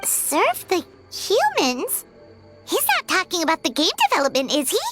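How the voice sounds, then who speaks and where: An extremely high, squeaky little creature with lively pitch swings. It questions and exclaims in puzzled childlike fashion, as a game sidekick would. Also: female voice, moderate pace, over music, exaggerated voice. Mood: baffled. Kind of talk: animated game cutscene acting.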